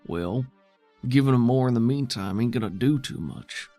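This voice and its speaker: A man's voice: medium pitch, expressive, moderate pace, dark tone, no echo, soft background music, natural voice. A man voicing a weary, defiant male settlement leader in a tabletop game, with folksy, plainspoken, skeptical phrasing.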